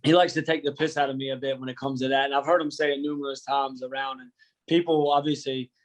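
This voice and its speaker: A man's voice: mid-range voice, little pitch movement, quick pace, poor audio. Easygoing joking man, a wrestling coach. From United States. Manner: easygoing, joking, uses slang. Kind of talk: casual interview.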